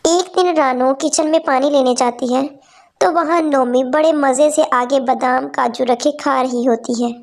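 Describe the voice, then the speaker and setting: A woman with a high voice tells a cartoon story. Her tone stays plain and steady, a storybook narrator. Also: unhurried.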